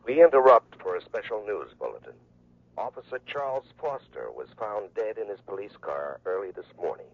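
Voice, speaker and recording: An actor playing a solemn radio news announcer with a formal, gravelly delivery in a 1970s radio drama. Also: male voice, on the low side, big pitch swings, fairly quick. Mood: urgent, solemn. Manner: formal, declamatory. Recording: tinny narrowband radio bulletin sound.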